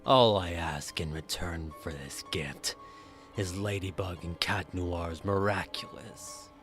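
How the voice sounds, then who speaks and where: A theatrical supervillain for an audio drama, low in pitch and unhurried. He is grandiose and menacing, savouring his schemes in a drawn-out, pompous manner. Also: music in the background. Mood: coaxing, menacing. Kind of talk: fan audio drama acting.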